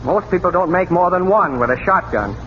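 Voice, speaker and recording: A man's voice: sits high, expressive, a little fast, heavy background noise. Casting: male singer-sidekick for light, scripted 1930s radio comedy banter, heard through a dull old broadcast.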